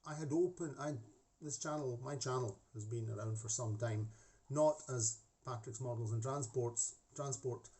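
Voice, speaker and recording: A man's voice: mid-range voice, normal speed, dark tone. A middle-aged man with a Scottish-tinged accent, chatting to camera from his hobby room with many restarts and asides. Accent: Scottish-tinged English. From half Scottish. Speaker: hobby model-making channel host. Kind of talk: casual chat to camera. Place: home hobby room with desk. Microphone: camera facing speaker.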